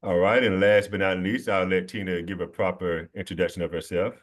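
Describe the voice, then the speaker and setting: Deep voiced guy hosting a chill talk show, lots of ums, laughs easy. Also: steady tone, a little fast. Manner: friendly, hesitant with fillers, laughs often. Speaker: host. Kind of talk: casual conversation.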